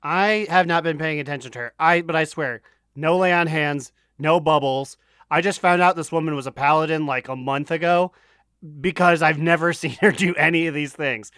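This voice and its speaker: High-pitched, clear-voiced male podcast host. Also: normal speed, a bit bright, no room sound, studio quality. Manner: jokey, sweary. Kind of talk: casual chat.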